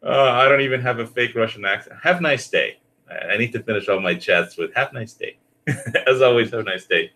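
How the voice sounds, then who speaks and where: A man talking casually and ramblingly, in a halting way that often repeats and restarts phrases. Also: medium pitch, animated, bright, forceful tone.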